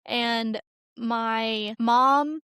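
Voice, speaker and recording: A woman co-hosting a podcast, casually telling nostalgic personal stories and acting out quoted dialogue in an animated way. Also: a little high, extremely slow, clean tone, no room sound, clean studio sound. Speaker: podcast co-host. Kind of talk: casual chat, nostalgic anecdotes.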